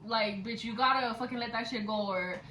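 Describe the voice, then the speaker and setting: A woman's voice: on the high side, a little fast, bright, forceful tone. Giggly young woman in an echoey room. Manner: code-switches between English and Spanish; blunt, swears freely. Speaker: vlogger chatting with her best friend. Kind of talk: casual chat. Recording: echoey room with background noise.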